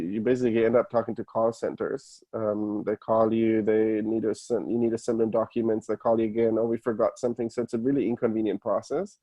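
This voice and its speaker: A man's voice: on the low side, mellow, low-quality recording. A man from Germany with a German accent, a guest explaining things step by step in casual interview talk. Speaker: podcast guest, startup founder. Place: remote call.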